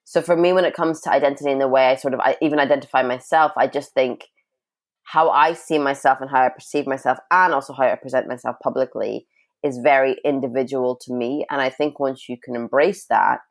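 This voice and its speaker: A woman's voice: very deep voice, fairly even intonation, fairly quick. A woman in her thirties, from Sudan and raised in Northern Ireland and Scotland, with an international accent, chatting freely as an interview guest who laughs often. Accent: international mix of Northern Irish and Scottish. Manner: chatty, laughs often, self-described talker. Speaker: guest, writer and filmmaker. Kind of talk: casual interview talk.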